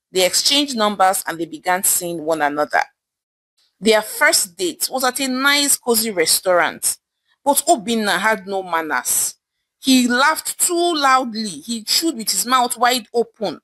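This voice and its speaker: A woman's voice: a little high, a bit bright, low-quality recording. A woman with a Nigerian accent narrating a folktale dramatically as a storyteller.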